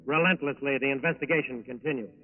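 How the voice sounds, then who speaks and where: A man's voice: mid-range voice, fast talker, faint music behind. A man delivering formal, dramatic scripted narration for a 1940s radio crime show. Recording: muffled old radio broadcast recording.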